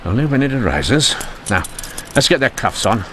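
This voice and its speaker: An actor playing a witty, dogged 1890s police inspector in a wry, theatrical radio mystery style. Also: male voice, medium pitch, very animated, moderate pace, fairly bright tone, a little hiss or hum. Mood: confident, accusing. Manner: wry, theatrical, formally polite. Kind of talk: old-time radio mystery acting.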